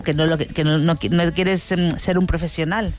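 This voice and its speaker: A woman's voice: lowish voice, fairly even intonation, moderate pace, faint music behind. A woman from giving casual advice as a panelist on a talk show.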